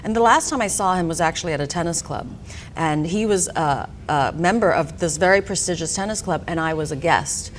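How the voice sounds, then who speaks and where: A woman's voice: lowish voice, fairly quick, slight background noise. A woman from Hong Kong, a director and actress, answering interview questions in a chatty way with many fillers. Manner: chatty, frequent "you know" and "um". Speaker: film director and actress, guest.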